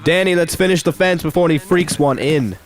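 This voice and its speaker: A man's voice: a little high, fairly quick, natural voice. An actor playing a terse, cautious man on watch in a post-apocalyptic radio drama. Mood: grim. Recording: clear outdoor city noise.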